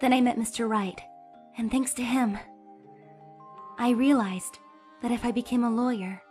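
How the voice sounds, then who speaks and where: Woman doing upbeat young lady lawyer, bit high voice, earnest and lively. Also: normal speed, faint music behind, close to an everyday voice. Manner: energetic, bursts into emotional pleas. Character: earnest, cheerful young female defense lawyer. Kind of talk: fan dub voice acting.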